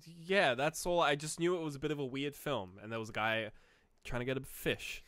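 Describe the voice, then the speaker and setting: A man with a smooth, clear, medium-pitched voice, recorded very dry. He banters casually as a podcast co-host, blunt and quick to interject. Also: fairly even intonation, fairly bright tone, no echo.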